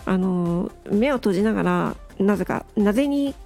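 A woman's voice: mid-range voice, lively intonation, normal speed, creaky, darkish tone, faint music behind. A woman hosting an unscripted solo audio show, murmuring casually as if to herself, close to the mic. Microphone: close to the microphone.

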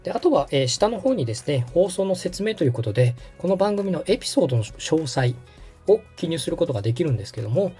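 Man, mellow tone, animated pitch, patient tutorial delivery, polite, background music. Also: fast. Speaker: host and radio director guiding a setup. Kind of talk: conversational step-by-step tutorial.

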